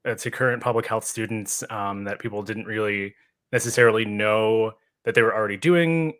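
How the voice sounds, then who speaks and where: Male podcast host, lower register, conversational and thoughtful interviewer. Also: moderate pace, fairly bright tone.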